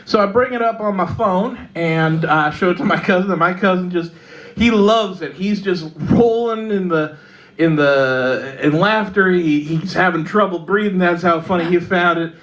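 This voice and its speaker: Texan woman doing deadpan stand-up. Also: lowish voice, moderate pace, poor audio. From Texas. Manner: deadpan delivery with frequent fillers and self-laughs. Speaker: stand-up comedian. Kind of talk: stand-up comedy set. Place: pub comedy club in London. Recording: live club mic, loud audience laughter.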